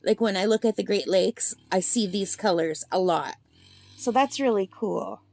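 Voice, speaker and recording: A woman with a slightly gravelly voice chatting and gushing as she swatches paints in a casual demo at her craft table. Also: mid-range voice, moderate pace, darkish tone, no echo. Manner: chatty and gushing, thinks aloud. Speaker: art supply reviewer.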